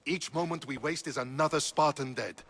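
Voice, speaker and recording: An actor playing a loyal, grave Spartan general in a video game, gravelly and measured. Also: male voice, a little high, a bit bright. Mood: grave, urgent. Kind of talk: video game dialogue acting.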